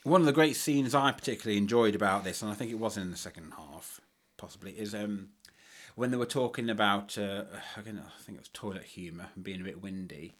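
A man's voice: on the low side, fairly quick, fairly bright tone. Wry, chatty British man. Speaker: podcast co-host. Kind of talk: casual chat reviewing theatre.

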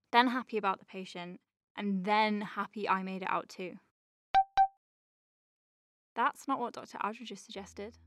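A woman playing an earnest, gently wry space-clinic doctor in an audio drama, conversational, self-deprecating and a little hesitant. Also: medium pitch, close to an everyday voice.

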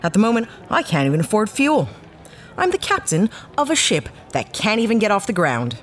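A woman's voice: medium pitch, sing-song, a little fast, natural voice. An actress playing a sardonic, blunt ex-cop starship captain down on her luck, in a sci-fi audio drama. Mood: weary, sardonic. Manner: sardonic, blunt, hesitant when awkward.

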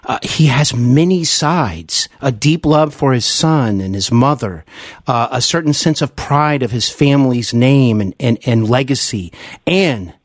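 A man hosting an earnest interview, speaking deliberately and effusively as he builds toward his questions. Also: mid-range voice, no room sound, clean studio sound. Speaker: podcast host, interviewer. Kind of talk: earnest long-form interview.

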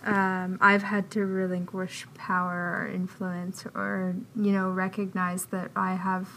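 A woman interviewing a guest casually, asking thoughtful questions slowly with fillers. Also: mid-range voice, completely flat delivery, fairly bright tone, slight background noise, poor audio.

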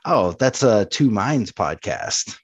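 Guy on a comedy podcast, chatty and self-deprecating, pitch bounces around, kinda halting. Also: lively intonation. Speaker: podcast co-host. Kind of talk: casual comedic podcast chat.

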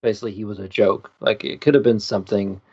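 A man on a podcast panel making casual, joking remarks in short, halting bursts. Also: lowish voice, gravelly, a little mellow, breathy edge, highs cut off. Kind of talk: casual banter.

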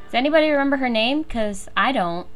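A woman's voice: a little high, expressive, quick pace, a bit bright, soft background music. An American woman hosting a fan podcast, chatting in a giggly, enthusiastic way. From USA. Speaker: podcast host. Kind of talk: casual fan commentary chat.

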